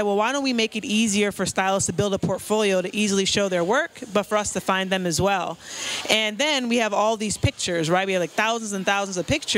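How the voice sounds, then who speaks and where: A woman's voice: mid-range voice, slight background noise. A young woman from the United States, an app founder, giving fluent, upbeat interview answers at a networking party. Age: young adult. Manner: fluent, upbeat, explains with stories.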